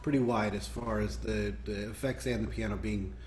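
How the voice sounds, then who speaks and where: A man's voice: on the low side, fairly even intonation, moderate pace, darkish tone, faint music behind. A man giving casual feedback on a video call. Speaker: rehearsal participant giving sound feedback. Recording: roomy, echoing video call audio.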